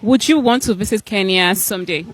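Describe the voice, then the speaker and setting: Bright high-voiced street interviewer. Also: male voice. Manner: brisk, repeating set questions.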